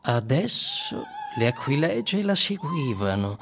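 A man's voice: on the high side, huge pitch swings, slow pace, fairly bright tone, a little hiss or hum, heavily acted. A man acting as the storyteller of a surreal radio drama, giving scripted narration. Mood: narrating.

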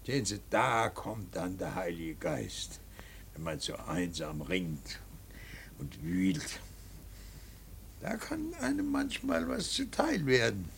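An actor playing a stern, devout older painter and father in a radio play, halting and brooding, then preaching with emphasis. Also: medium pitch, sharp tone, full character voice. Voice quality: gravelly, husky. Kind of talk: German radio play acting.

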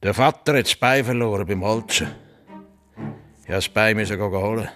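An actor playing a blunt, terse rural farmer with a Swiss German accent in a radio drama. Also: male voice, fairly low pitch, normal speed, fairly bright tone, soft background music, close to an everyday voice. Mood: matter-of-fact recollection. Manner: terse, plain-spoken, unemotional. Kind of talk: naturalistic radio drama acting.

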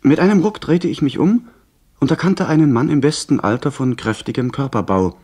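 A man's voice: medium pitch, animated, fairly quick, dark tone, lightly acted. An actor playing a well-bred young man who narrates and converses with polite curiosity in a radio play. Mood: composed, narrating. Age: young adult. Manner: well-spoken, composed, politely inquisitive. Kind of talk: 1970s radio play acting with first-person narration.

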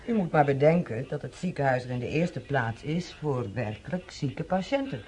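An actress playing a stern, no-nonsense female head nurse in a radio drama, brisk and reproving. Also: extremely low pitch, sing-song, dark tone, slight background noise.

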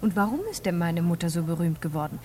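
An actress playing an ambitious, stubborn young actress in a 1950s radio play, flippant, coaxing and self-assured. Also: female voice, on the low side, fast talker, mellow, slight background noise, natural voice. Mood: scornful. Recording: 1950s radio drama recording.

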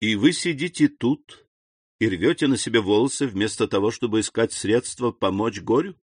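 A man's voice: fairly low pitch, husky, no echo, studio quality. Man doing a sly, scornful, insinuating schemer. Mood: mocking. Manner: insinuating, mocking, deliberate. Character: sly, calculating, scornful schemer with false friendliness.

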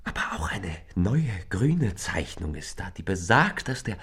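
Low and husky, a rapturous lover in a radio drama. He speaks slowly and with poetic fervour, the gravelly voice often thinning into breath. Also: big pitch swings, a bit bright, heavily acted. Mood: tender wonder. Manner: poetic, fervent, deliberate declamation. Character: rapturous male lover, a stranger in a rented room. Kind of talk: literary German radio play acting.

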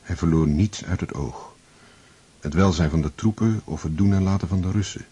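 A man narrating a historical radio play in a sober, measured, literary manner with a dark, husky voice. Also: sits low. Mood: sober, reflective.

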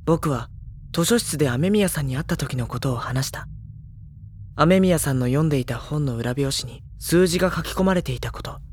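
A man's voice: fairly high pitch, expressive, moderate pace, a little hiss or hum, close to an everyday voice. Man acting a light-voiced, sardonic teenage schoolboy. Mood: calm, reflective. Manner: gentle narration, dry teasing retorts. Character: mild, sardonic high school boy, bookish narrator. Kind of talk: Japanese audio drama narration and dialogue.

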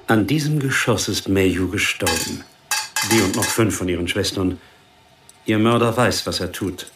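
An actor playing a precise, matter-of-fact ballistics examiner explaining evidence in a radio crime drama. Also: male voice, deep voice, normal speed, far from the mic, noisy background, close to an everyday voice. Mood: dryly expert. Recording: echoey room, off the mic.

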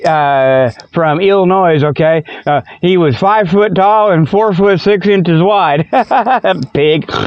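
Man putting on a goofy cartoonish cat radio host, high-pitched, rambling, folksy, wisecracking, dull lo-fi sound. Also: takes it slowly, clear, resonant tone, no echo. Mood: teasing, wisecracking. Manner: rambling, folksy, stumbling, chuckles at own jokes. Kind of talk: comedic radio monologue with spoof ads.

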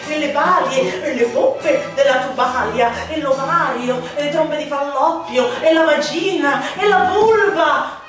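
A high-voiced woman performs a satirical literary monologue in a mocking, declamatory style. She takes her time, stretching words out for theatrical emphasis and swooping through her phrases. The sound is very echoey, with music present. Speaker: stage actress reciting a literary text. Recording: very echoey, distant from the mic.

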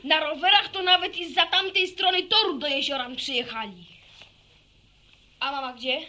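Very high, excited village youngster. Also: female voice, moderate pace. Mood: coaxing. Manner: excited, coaxing. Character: eager, chattering village youngster nagging the musician. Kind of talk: radio drama acting.

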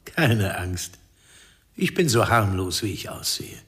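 A fairly low male voice as a seemingly harmless informant who insinuates rather than threatens. He speaks in a measured radio-drama style, with clear noise and clicks behind him. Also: very animated. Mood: sly, menacing. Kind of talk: radio crime drama acting.

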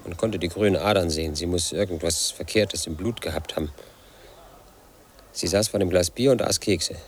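A brooding, resentful house painter in his late thirties, played by a man in a radio drama. His voice sits very low as he broods over old grudges in a bitter monologue. A faint hiss runs under the recording. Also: normal speed, close to an everyday voice. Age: late 30s. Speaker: protagonist narrating his life.

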